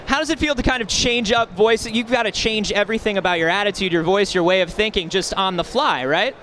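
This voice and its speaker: A man's voice: sits high, fairly quick, a crowd in the background. A man interviewing guests in an informal, chatty way at a live convention event. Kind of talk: casual on-stage convention interview. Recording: faint noise of busy convention hall.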